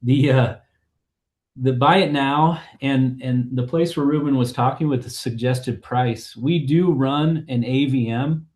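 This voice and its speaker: A man's voice: medium pitch, unhurried, darkish tone. A man, a company co-founder, explaining a pricing approach in a casual webinar, acting out the thoughts of imagined buyers along the way.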